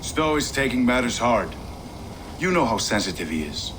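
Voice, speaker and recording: A man's voice: medium pitch, big pitch swings, normal speed, background noise, lightly acted. A man playing a worried, caring Viking companion. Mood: concerned.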